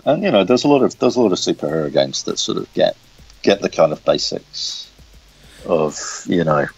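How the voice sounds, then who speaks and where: Really low-voiced guy in a casual interview, kinda halting, repeats words, muffled phone recording. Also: sing-song, gravelly, slightly rough, soft background music. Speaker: interviewed comic book writer. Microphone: smartphone recorder.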